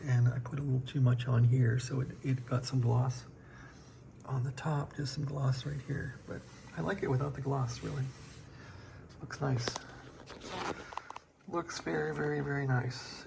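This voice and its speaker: A man's voice: medium pitch, creaky, background noise. An American man giving a casual, unscripted show-and-tell as a hobbyist, talking in a rambling, folksy, colloquial way into a handheld phone. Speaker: radio hobbyist showing a restoration project.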